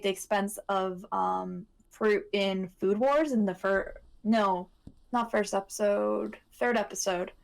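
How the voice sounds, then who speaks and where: A woman chatting casually as an anime panelist, pausing and searching her memory as she talks. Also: medium pitch, smooth. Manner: hesitant, searching for recollections. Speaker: podcast panelist. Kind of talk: casual chat about anime.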